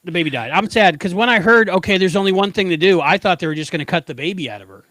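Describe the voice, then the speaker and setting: A man with a somewhat high-pitched voice co-hosts a podcast. His delivery is casual and conversational. The sound is very dry, with no room echo. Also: lively intonation, quick pace, a bit bright. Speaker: podcast co-host. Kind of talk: casual chat, episode discussion.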